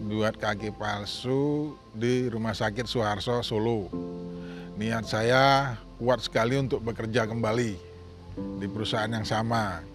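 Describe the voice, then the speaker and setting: A man's voice: on the low side, steady tone, unhurried, over music. A man in his fifties from Sumatra, giving an earnest, plain-spoken personal testimony. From Sumatra, Indonesia. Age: 50s. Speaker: testimonial speaker.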